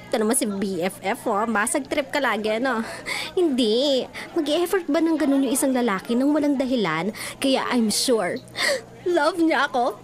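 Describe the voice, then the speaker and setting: An actress playing a smitten, hopeful young woman in a Filipino radio drama, girlish and gushing with drawn-out words. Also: sits high, expressive. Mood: gushing, dreamy. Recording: music bed behind voice.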